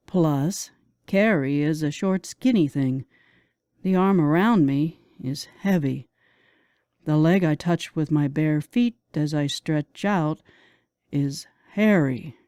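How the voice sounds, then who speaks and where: A woman narrates a novel in the first person with a slightly low voice. Her reading is scripted and expressive, leaning into the drama of the story. The sound is dry, with very little room around it. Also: smooth, no echo. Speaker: audiobook narrator.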